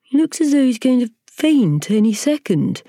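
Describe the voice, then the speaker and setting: A woman voicing a brash male centipede, speaking in loud, emphatic declarations. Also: a little high, sing-song, moderate pace, dry recording, studio quality, voice pushed up.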